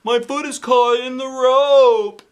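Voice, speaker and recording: A man voicing an excitable, eccentric male school principal in a children's storybook, proclaiming loudly and emphatically. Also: extremely high pitch, takes it slowly, smooth, voice pushed up. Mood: panicked shouting.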